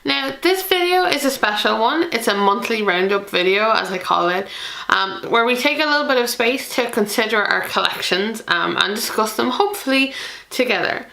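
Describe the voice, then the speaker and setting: A woman's voice: a little high, lively intonation, moderate pace, gravelly, pushed and bright, echoey room. A woman from Ireland with an Irish accent, hosting a board game channel in a chatty, self-deprecating way with many ums. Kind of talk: chatty solo video to camera.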